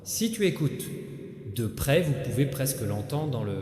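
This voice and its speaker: A man narrating a scripted inspirational spiritual message straight to the listener, solemn and halting, in short broken phrases. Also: mid-range voice, sing-song, a little mellow. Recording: echoey hall with long reverb.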